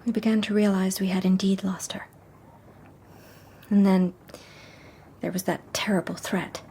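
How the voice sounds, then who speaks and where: An actress playing a wistful young society woman, telling her story in a hushed, measured radio drama style. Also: medium pitch, flat delivery, slight background noise, close to an everyday voice. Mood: haunted, sorrowful.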